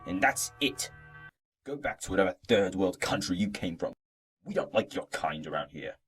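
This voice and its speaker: Woman doing a mean foster dad, super low menacing mutter, music under it. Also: lively intonation, moderate pace. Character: menacing bigoted foster father, grown man. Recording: distant mic with music behind.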